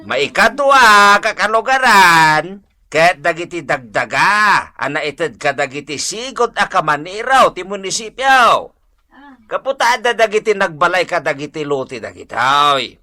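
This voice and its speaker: A man's voice: sits high, animated, measured pace, sharp tone, exaggerated voice. A man playing an exasperated, scolding barangay captain in an Ilocano comedy radio drama, with impatient, emphatic delivery. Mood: formal, stern.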